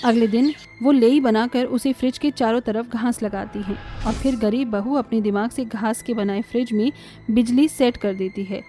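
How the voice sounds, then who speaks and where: A woman reading scripted narration for an animated story as the storyteller. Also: fairly high pitch, normal speed, soft background music.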